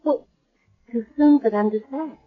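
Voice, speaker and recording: An actress playing a young woman with amnesia, speaking gently and hesitantly in a 1970s radio drama. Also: high-pitched, unhurried, slightly rough. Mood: gentle. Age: young adult. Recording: dull lo-fi vintage radio recording.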